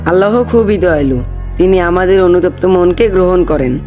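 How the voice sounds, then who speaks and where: A man's voice: high-pitched, normal speed, a little mellow, natural voice. A man playing a pious, patient boy in a moral cartoon, earnest and instructive with his friend. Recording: faint background music.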